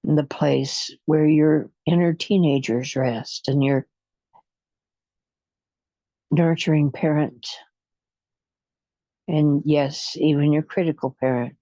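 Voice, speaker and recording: A guide leading a guided imagery relaxation session, speaking in a soothing, hypnotic way with long pauses and slow counting, on a dull lo-fi recording. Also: mid-range voice, steady tone, mellow, no room sound.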